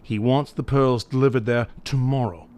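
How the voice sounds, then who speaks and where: A man's voice: medium pitch, steady tone, moderate pace, a little mellow, natural voice. An actor playing a worried, suspicious middle-aged father in an audio mystery drama, talking in an earnest, uneasy way. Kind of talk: audio re-creation of a 1930s mystery film.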